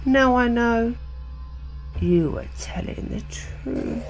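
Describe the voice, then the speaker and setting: A woman's voice: fairly high pitch, slow pace, faint music behind, low-quality recording. A woman voicing a frightened young woman who suddenly grows old, speaking in an anxious, faltering way.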